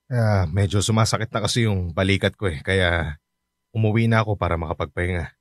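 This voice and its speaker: A man playing a hardworking fifty-year-old taxi-driver father in a radio drama, speaking gently and reassuringly. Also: low voice, brisk, no room sound, studio quality, natural voice. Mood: caring.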